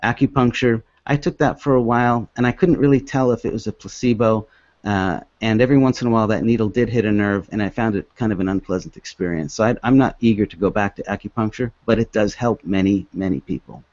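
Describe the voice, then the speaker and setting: A man in his fifties who grew up in Hong Kong, giving an earnest, halting presentation as an author with many restarts. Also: on the low side, darkish tone, dry recording. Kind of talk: presentation with slides. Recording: dull, dry lo-fi recording.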